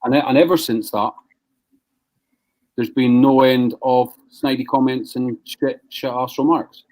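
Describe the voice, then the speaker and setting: A man's voice: medium pitch, steady tone, a little slow, darkish tone. A man hosting a live stream, ranting bluntly and swearing at rival businesses. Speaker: live show host, business owner.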